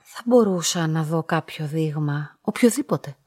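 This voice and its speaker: An actress playing a polite, curious young job applicant in a radio play, asking questions tentatively. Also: female voice, sits low, animated, mellow, slight background noise. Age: young adult. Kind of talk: scripted radio drama dialogue.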